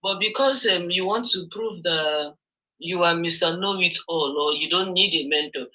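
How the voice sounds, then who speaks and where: A woman's voice: fairly low pitch, moderate pace, pushed and bright, poor audio. A woman with a Nigerian accent calling in to share a testimony, telling her story with hesitant ums. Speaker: caller sharing a testimony. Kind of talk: call-in testimonial.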